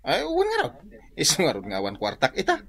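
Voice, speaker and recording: A man's voice: a little high, huge pitch swings, fast talker, creaky, a bit bright, full character voice. A man with an Ilocano Filipino accent playing a vain, broke, wheedling office worker in a radio drama. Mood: sheepish, wheedling.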